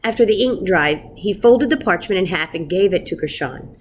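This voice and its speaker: A woman reading a picture book aloud as a storyteller, with expressive narration meant for children. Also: on the low side, normal speed, a little hiss or hum.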